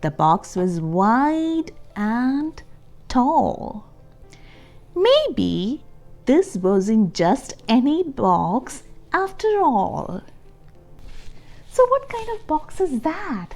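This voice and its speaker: A high-pitched woman reads a storybook aloud to small children over background music. Her delivery is very slow and expressive, leaning on key words. She pauses the tale to explain and ask questions.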